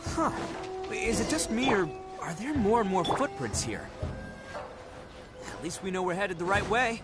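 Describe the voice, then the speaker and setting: An actor playing a brash, big-hearted young oni gang boss in a video game, boisterous, slangy and cocky, with music behind. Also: male voice, high-pitched, sing-song, unhurried. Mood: curious.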